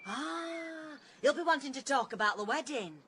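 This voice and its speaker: A boastful middle-aged village mum in a radio drama, with a regional English accent. She chatters dreamily in a high, very animated voice over faint noise. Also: moderate pace. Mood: proud, excited. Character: gushing, proud working-class village mother. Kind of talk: British radio drama acting.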